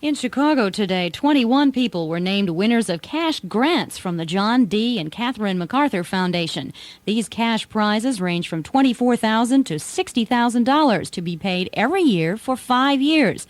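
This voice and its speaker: A woman's voice: fairly high pitch, lively intonation, normal speed, no room sound. American woman reading radio news, dry sound. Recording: 1980 radio broadcast aircheck.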